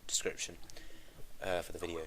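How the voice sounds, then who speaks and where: A man's voice: low voice, level tone, a little fast, creaky, a bit bright, slight background noise, poor audio. A British teenage boy with a congested, bunged-up voice from a cold, chatting casually as a podcast host and often stammering over repeated words. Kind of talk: casual chat.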